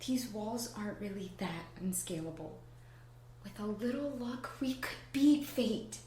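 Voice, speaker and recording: A woman's voice: mid-range voice, animated, measured pace, warm tone, natural voice. A woman playing an earnest, lovestruck young princess in a radio play, speaking with pleading emotion. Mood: hurt, hopeful. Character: earnest, hopeful young princess in forbidden love. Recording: echoey room with faint background noise.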